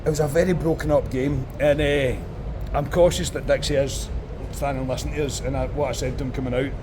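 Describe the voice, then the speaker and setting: A hoarse man gives blunt, opinionated football verdicts in a mid-pitched voice. He speaks outdoors, with clear street and traffic noise around him. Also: steady tone, fairly quick. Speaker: podcast host. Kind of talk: casual football chat. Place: outdoors on a street after a match.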